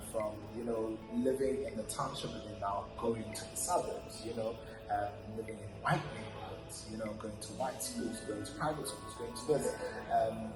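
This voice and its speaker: South african guy rambling thoughtfully, lots of ums, echoey room. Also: mid-range voice. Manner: reflective, warm storytelling with many fillers and restarts. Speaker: podcast guest. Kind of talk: casual interview talk.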